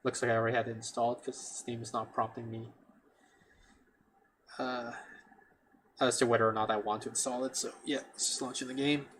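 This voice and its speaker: A man's voice: mid-range voice, level tone, a little fast, long pauses, a bit bright, slight background noise. A man streaming a game, thinking aloud in a chatty way with many restarts. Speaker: gameplay streamer. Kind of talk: casual let's play commentary. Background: game music.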